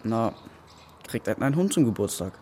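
A teenage son in a radio play, curious and a little sulky. His delivery is casual, with lively rises and falls. There is faint noise behind him. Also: fairly low pitch, a little fast. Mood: hesitant, curious. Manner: casual, questioning, youthful. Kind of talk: naturalistic radio play acting.

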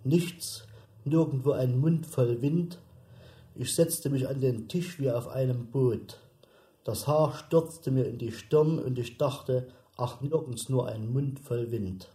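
A man reciting poetry for a radio sound composition, with measured, deliberate phrasing. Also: medium pitch, warm tone, roomy sound. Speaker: reciter of literary texts.

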